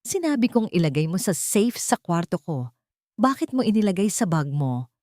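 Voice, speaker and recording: A woman's voice: on the low side, big pitch swings, moderate pace, warm tone, dry recording, clean studio sound. A woman voicing a poised, rich fiancée in an audio drama, speaking dramatically with English phrases mixed in. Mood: outraged, commanding. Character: poised rich fiancée, falsely sweet, theatrically distraught.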